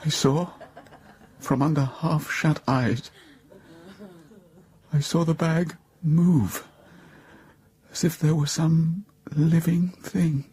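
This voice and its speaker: Dark-voiced, haunted young gentleman. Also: on the high side, steady tone, takes it slowly, long pauses, breathy edge, heavily acted. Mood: horrified. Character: horrified young gentleman, captive recounting a nightmare. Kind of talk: dramatic first-person radio narration.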